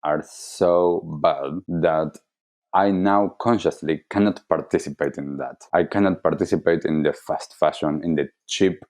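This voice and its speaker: A man with an Argentine accent giving reflective interview answers as a wellness coach, explaining deliberately and earnestly. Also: very deep voice, fairly even intonation, darkish tone. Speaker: wellness coach, podcast guest.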